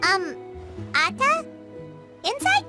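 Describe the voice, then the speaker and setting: A woman voicing a tiny childlike female game companion, excitable and scheming, in a squeaky voice. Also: slow pace, full character voice. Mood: surprised, curious. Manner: refers to self in third person, exclamatory. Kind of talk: video game character dialogue. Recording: loud music behind. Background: game music.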